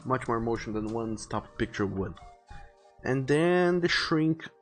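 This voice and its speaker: Male livestreamer, mid-pitched, rambling and informal, planning out loud with music behind him. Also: animated, a little slow. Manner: rambling, repeats and rephrases as he plans. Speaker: live streamer illustrating a visual novel. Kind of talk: casual livestream chat, thinking aloud while planning artwork.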